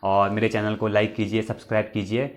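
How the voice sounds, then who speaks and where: A man's voice: lowish voice, monotone, brisk. Earnest man reciting nostalgic poetry. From Uttarakhand hills. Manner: recites each line twice, earnest and nostalgic. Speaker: poet and channel host. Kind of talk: poetry recitation with spoken asides.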